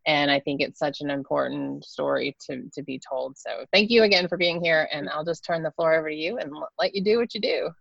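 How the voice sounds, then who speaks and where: Woman host on a video call, low and gravelly, chatty and easy. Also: fairly quick, few pauses, dry recording, dull audio. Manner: chatty, laughs often, soft-spoken. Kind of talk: casual chat, opening with a guided meditation.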